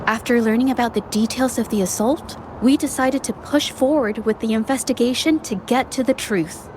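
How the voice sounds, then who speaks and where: An actress voicing an earnest young female painter, gentle and reflective as she investigates. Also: fairly high pitch, normal speed, background noise, lightly acted. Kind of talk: video game voice acting.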